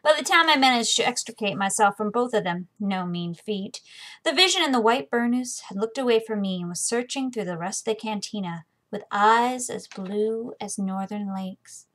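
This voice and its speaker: A woman's voice: medium pitch, moderate pace, a bit bright. An American woman with an informal, conversational way of talking, hosting a book reading video series. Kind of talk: casual chatty vlog, then reading a novel aloud.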